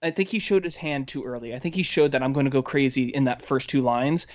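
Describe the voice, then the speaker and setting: Male podcast co-host, analytical and hesitant in casual discussion, mid-pitched, with slightly muffled audio. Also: quick pace.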